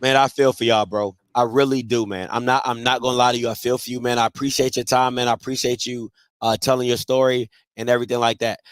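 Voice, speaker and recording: A man in his thirties from Maryland hosting a live show, giving blunt, emphatic advice to listeners and sometimes shouting. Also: mid-range voice, little pitch movement, fast, hardly any pauses, smooth, no echo. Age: 30s. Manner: emphatic, blunt, swings between soft and shouting. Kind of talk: casual advice talk to listeners.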